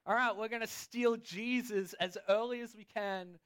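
A man with an Australian accent preaching a sermon in a relaxed, chatty way, pausing often so an interpreter can translate. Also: high voice, clear, resonant tone. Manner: casual, chatty, pauses for translation. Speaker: pastor.

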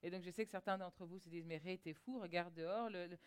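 A woman's voice: lowish voice, fairly even intonation, fast talker, clear, resonant tone. A woman interpreting a sermon live, often hesitating and repeating small words as she searches for the right phrasing.

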